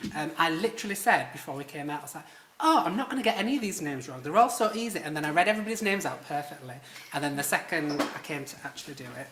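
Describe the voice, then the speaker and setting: Bright, chatty Yorkshire man on stage. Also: on the high side, slight background noise. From Leeds, England. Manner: chatty, self-deprecating, many ums. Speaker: panel moderator. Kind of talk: live panel introductions. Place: theatre stage before an audience. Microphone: stage microphone. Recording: roomy theatre sound.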